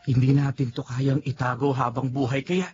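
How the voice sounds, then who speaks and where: A man's voice: medium pitch, little pitch movement, normal speed, a little mellow, soft background music, close to an everyday voice. A man playing a determined lover in a secret romance, earnest and stammering when caught off guard, in a slightly muffled radio drama.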